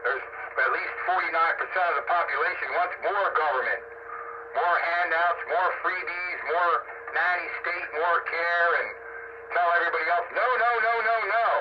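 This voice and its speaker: An American man in casual, opinionated discussion, speaking bluntly and emphatically. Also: on the high side. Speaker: radio discussion participant. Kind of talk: casual opinionated political chat. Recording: dull, noisy radio-style audio. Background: strong background noise.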